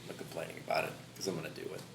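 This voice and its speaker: Fast-talking man in a roomy podcast. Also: rumbling low, level tone, creaky. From Philippines. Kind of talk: casual podcast chat. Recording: roomy echo, background noise.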